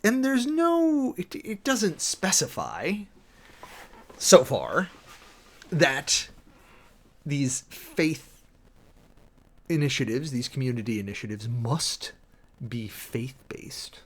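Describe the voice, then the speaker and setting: A man's voice: mid-range voice, wildly swooping pitch. A man livestreaming a dramatic reading with close commentary, theatrical and emphatic, stretching words out and breaking into wry asides. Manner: theatrical, emphatic, with drawn-out words and sudden swells. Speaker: livestream host, preacher.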